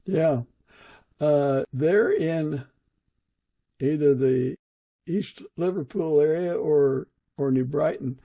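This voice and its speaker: A man's voice: on the high side, slow pace, creaky, pauses often, dark tone. A man hosting a podcast, introducing the show and interviewing in a conversational way. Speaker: podcast host.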